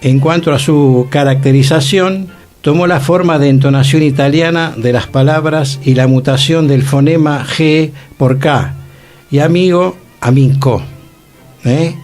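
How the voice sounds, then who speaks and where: A man's voice: fairly high pitch, unhurried, darkish tone. A man with a Rioplatense Argentine accent giving a didactic, scholarly talk as a radio host. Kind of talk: informative radio talk on theatre history. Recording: music playing behind the voice. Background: music.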